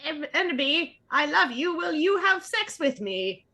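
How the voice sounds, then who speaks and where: A woman's voice: sits high, sing-song, measured pace, sharp tone, exaggerated voice. A woman voicing an overwrought male henchman villain in a melodramatic, declamatory comic fan fiction reading. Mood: lovesick.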